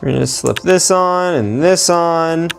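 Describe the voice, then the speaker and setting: A man giving excitable, slangy, playful commentary as he builds toys for a video. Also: a little high, unhurried, gravelly, soft background music. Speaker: toy-building video host.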